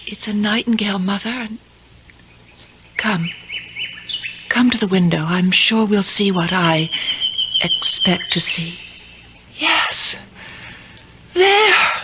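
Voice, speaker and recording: An actress playing a dreamy, wonderstruck young daughter in a 1970s radio drama, speaking in a hushed, halting way. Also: female voice, medium pitch, expressive. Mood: rapt wonder. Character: awed, dreamy young daughter who has seen the ghost. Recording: dull, noisy old radio recording.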